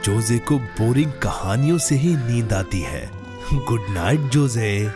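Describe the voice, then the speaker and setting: Slow, expressive bedtime storyteller. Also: male voice, medium pitch. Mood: sleepy, soothing. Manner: gentle, patient, reassuring. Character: patient adult male bedtime storyteller in cartoon. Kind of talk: children's bedtime storytelling, cartoon dub. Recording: clear music behind the voice.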